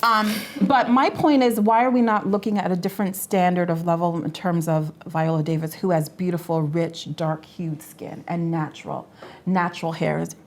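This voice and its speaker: A woman's voice: on the low side, fairly even intonation, moderate pace, a little hiss or hum. A Canadian woman in her forties, co-hosting a casual panel chat, earnest and stumbling over repeated words as she makes her point. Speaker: co-host.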